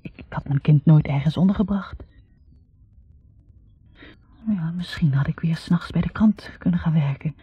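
An actress playing a bitter, reflective young woman academic in a 1960s radio drama, speaking in a halting, confessional way. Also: deep voice, husky, no echo, soft background music. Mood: conflicted, regretful. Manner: halting, confessional, repeating words. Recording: muffled 1960s radio recording.

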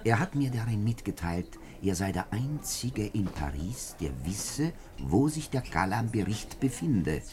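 Pompous deputy, deep and swooping. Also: male voice, sing-song, a little mellow. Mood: self-important. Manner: pompous, deliberate, emphatic. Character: self-important, indignant male French parliamentary deputy. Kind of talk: 1950s German radio drama acting.

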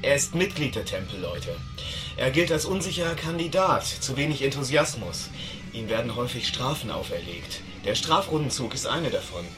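A calm, dry man played by an actor as an intelligence agent in a radio drama. His delivery is measured and factual, mid-pitched, with music clearly behind. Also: natural voice. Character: calm matter-of-fact male agent reporting intelligence. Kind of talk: German radio drama acting.

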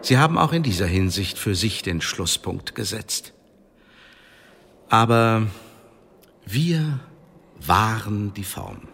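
Cold mocking spy tribunal boss, lowish voice that swings around a lot, big echoey hall. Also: male voice, expressive, slow pace, long pauses, fairly bright tone, exaggerated voice. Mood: coldly formal. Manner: sardonic, officious, commanding. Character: cold, mocking male secret service tribunal chief. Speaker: actor in a radio play. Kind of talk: German radio drama acting. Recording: echoey hall, clear background noise.

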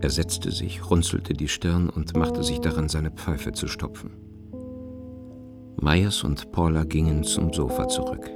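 Very deep, gravelly male narrator. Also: unhurried. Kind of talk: scripted literary narration for a radio play. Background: plucked guitar music.

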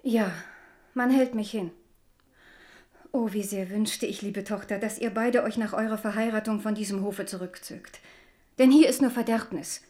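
A woman's voice: mid-range voice, fairly even intonation, normal speed, dark tone, natural voice. An actress in a radio drama playing a pious, motherly older Protestant noblewoman with an earnest, fervent, formal manner. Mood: tender, concerned.

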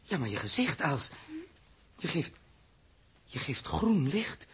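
A man's voice: fairly high pitch, big pitch swings, slow, a bit bright, breathy edge, heavily acted. An actor playing an alarmed, authoritative male crew leader in a 1960s radio drama, with a Netherlands Dutch accent. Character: Joost, commanding alarmed spacecraft crew leader.

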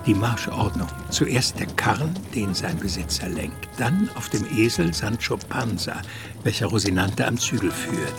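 A scene-setting radio play narrator. His voice is on the low side and animated in pitch. He gives terse descriptions, with music clearly playing behind. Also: unhurried. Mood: observant. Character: vivid present-tense narrator describing the scene.

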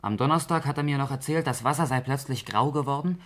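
A man's voice: medium pitch, quick pace, fairly bright tone, natural voice. An actor playing an earnest male suspect in a 1960s German radio crime play. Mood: earnest, recalling.

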